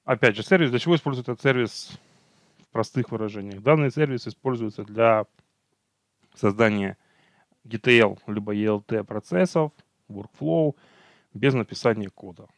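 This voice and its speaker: Low-voiced man giving a slide lecture. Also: no echo, clean studio sound. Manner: unhurried, many fillers and self-corrections. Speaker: technical trainer. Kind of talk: online training lecture over slides.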